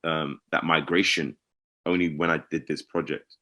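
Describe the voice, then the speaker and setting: A middle-aged man, chatting lively and emphatically in an interview. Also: extremely low pitch, normal speed. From London, England. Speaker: actor, cast member. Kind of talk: casual interview chat.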